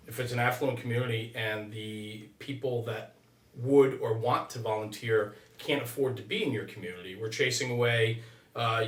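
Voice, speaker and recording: A man's voice: medium pitch, fairly bright tone. A man explaining his opinions conversationally, emphatically punctuating his points with "right?". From United States. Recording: roomy echo, distant from mic.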